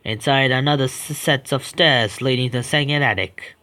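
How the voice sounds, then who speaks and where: A man's voice: mid-range voice, normal speed, pushed and bright. Young man reading a horror game aloud. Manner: stumbling reads, swearing and joking asides. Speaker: game streamer. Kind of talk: reading a visual novel aloud with commentary.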